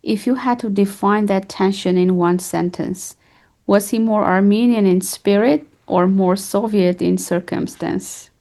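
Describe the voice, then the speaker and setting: A woman moderating an interview, putting prepared questions to a guest in a polite, deliberate manner. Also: mid-range voice, warm tone.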